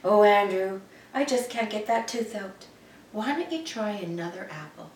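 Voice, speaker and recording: A woman's voice: mid-range voice, lively intonation, moderate pace, a little mellow, lightly acted. A woman voicing a fussy mother who coos over her son. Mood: cooing. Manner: cooing. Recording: echoey room, mic far off.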